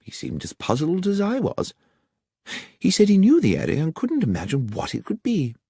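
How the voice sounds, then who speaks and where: An elderly male country doctor played by a male reader from a detective storybook, genial and obliging. His voice swoops up high and drops again as he chats, recorded close and dry. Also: huge pitch swings, normal speed, warm tone, slightly rough, no room sound, heavily acted. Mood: helpful, recollecting. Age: older.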